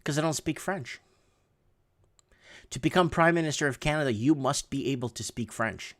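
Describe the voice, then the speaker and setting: A man's voice: a little high, normal speed, a bit bright. Sarcastic man rambling through news headlines. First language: Portuguese. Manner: rambling, sarcastic asides, frequent restarts. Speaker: livestream host. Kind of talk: casual commentary reading news headlines.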